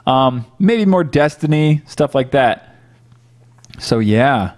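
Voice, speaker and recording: A man's voice: medium pitch, expressive, takes it slowly, a little mellow. A man, chatting casually with viewers as a livestream host into a close desk microphone. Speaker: live stream host. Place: home office room, indoors. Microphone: close desk microphone on boom arm.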